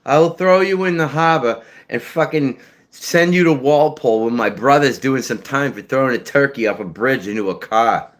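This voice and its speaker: A man's voice: medium pitch, normal speed, voice pushed up, natural voice. A man voicing a menacing, swearing tough guy with a thick South Shore Boston accent. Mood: threatening. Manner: threatening, swearing, shouting.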